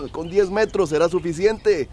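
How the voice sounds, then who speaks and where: High-voiced, practical well-digger. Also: male voice, exaggerated voice. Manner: explanatory, matter-of-fact. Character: Pedro, practical, knowledgeable village well-digger. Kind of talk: radio drama acting. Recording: faint background noise.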